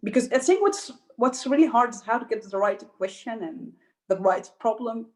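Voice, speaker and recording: Woman podcast host with a halting manner. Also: on the high side, lively intonation, darkish tone. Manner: hesitant questions, with fillers and restarts. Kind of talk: interview hosting.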